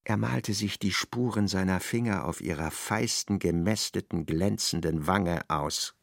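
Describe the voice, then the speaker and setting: A man's voice: deep voice, measured pace, creaky, no room sound. A man narrating a literary radio play as a storyteller, recorded in a studio. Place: dry studio.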